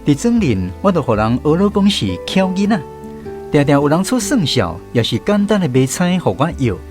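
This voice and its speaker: A man's voice: a little high, big pitch swings, moderate pace, a little mellow. A man narrating a village story in a radio drama, looking back on his childhood. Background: music.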